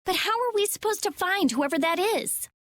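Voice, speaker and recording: A woman's voice: very high voice, expressive, moderate pace, fairly bright tone, no echo. A woman playing a determined, blunt teenage girl mage and researcher in a video game. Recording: music under the voice.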